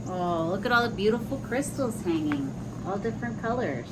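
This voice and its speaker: A woman reading a children's picture book aloud, pausing to explain the pictures in simple words for young listeners. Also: mid-range voice, lively intonation, heavy background noise.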